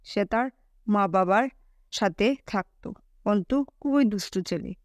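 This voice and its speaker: A woman's voice: medium pitch, a little slow, dry recording, studio quality. A woman narrating a scripted children's story.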